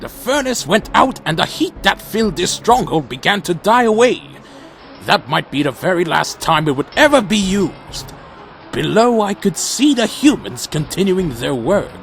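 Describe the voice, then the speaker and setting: A man acting a defiant, intense vampire warrior who narrates his tale gravely and theatrically in a fan audio drama. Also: high voice, sing-song, normal speed, a bit bright, faint music behind, full character voice. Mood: grave narration. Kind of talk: dramatic first-person narration and acted dialogue.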